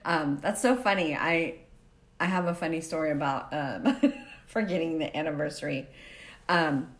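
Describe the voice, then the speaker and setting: A woman's voice: low-pitched, moderate pace. A middle-aged woman hosting a podcast, chatting casually as she interviews a guest. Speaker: podcast host.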